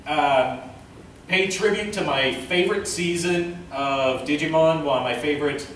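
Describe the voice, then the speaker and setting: A man hosting an informal panel Q and A, speaking in a hesitant, halting way. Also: a little high, fairly bright tone. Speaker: panel host, web series creator. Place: convention panel room. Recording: very echoey room, distant mic, noise.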